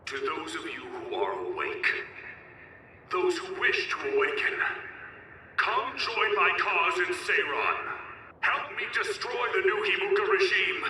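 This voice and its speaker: Game rebel leader: grave, resolute oratory, very sharp and forceful, booming through a reverberant broadcast. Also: male voice, animated, measured pace, full character voice. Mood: rousing, resolute. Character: defiant male rebel leader addressing a nation by broadcast. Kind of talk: rousing political speech in game voice acting. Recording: echoing broadcast, distant, faint noise.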